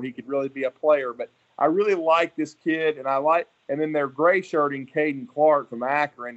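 A man's voice: fairly high pitch, dull audio. A man hosting a sports show, discussing college football in a conversational, opinionated and detailed way. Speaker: sports podcast host.

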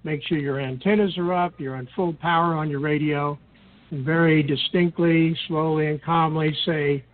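Male, slightly high voice, methodical explainer, measured delivery, thin telephone-grade audio. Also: fairly even intonation, a little hiss or hum. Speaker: guest explaining radio procedures. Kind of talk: instructional explanation. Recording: tinny narrow-band phone-like line.

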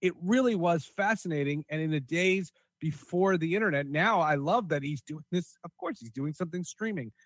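Casual male podcast host, slightly high voice, close dry sound. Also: moderate pace, hardly any pauses, fairly bright tone, no echo.

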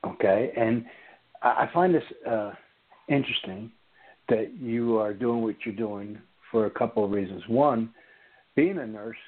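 A man's voice: on the low side, measured pace, narrow, tinny audio. Man in his sixties hosting radio. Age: 60s. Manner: rambling, chatty, with many fillers. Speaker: radio host.

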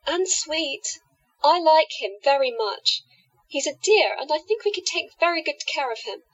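Woman playing high, lilting, confident enchantress. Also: animated, measured pace, fairly bright tone, muffled recording. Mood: pleading, doting. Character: confident, affectionate otherworldly woman with hypnotic powers. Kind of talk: audio drama acting.

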